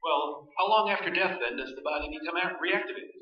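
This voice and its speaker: A man's voice: a little high, brisk, close to an everyday voice. A man playing an earnest emergency news broadcaster in a staged radio play. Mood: probing. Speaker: newscaster. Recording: echoey stage, distant, dull sound.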